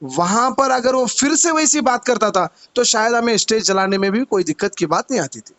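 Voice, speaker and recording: A man's voice: sits high, animated, fairly quick, fairly bright tone. A man with a Hyderabadi accent, a party activist arguing his case insistently and repeating himself in a phone interview.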